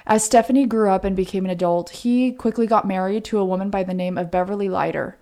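A woman's voice: medium pitch, steady tone, quick pace, mellow. A woman co-hosting a podcast, narrating true crime in an informal way with chatty asides. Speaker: podcast co-host.

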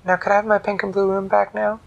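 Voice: mid-range voice, level tone, fast talker, creaky, highs cut off, pitched above the performer's own voice, lightly acted. A storyteller voicing an earnest, polite young girl who pleads to get her way. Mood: pleading.

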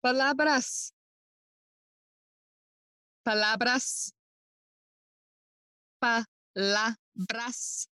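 Sardonic, half-mad young prince, deliberate slow pacing, dry close recording, classical radio play. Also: female voice, fairly high pitch, bright, forceful tone, no echo, exaggerated voice. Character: mocking young prince feigning madness. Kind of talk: Shakespearean acting in audio play.

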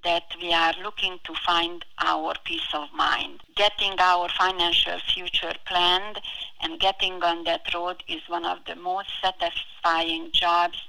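A middle-aged woman with a heavy foreign accent, answering as a podcast guest in an earnest, deliberate, prepared-sounding way. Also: lowish voice, flat delivery, gravelly, bright, forceful tone, a little hiss or hum, rough recording. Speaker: financial advisor, podcast guest.

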